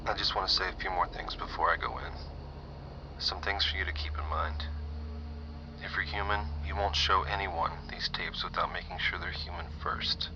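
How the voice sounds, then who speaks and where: A man's voice: low-pitched, fairly even intonation, moderate pace. A man playing a paranoid army specialist who whispers a tense warning into a tape recorder. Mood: hushed urgent. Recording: muffled noisy tape recording.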